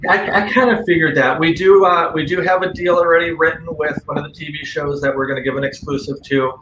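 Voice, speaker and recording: A man's voice: on the high side, steady tone, quick pace, bright, forceful tone, dull audio. A man talking casually and earnestly as a guest on a paranormal radio show, with firm opinions. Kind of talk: casual conversational talk. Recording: roomy echo, faint background noise.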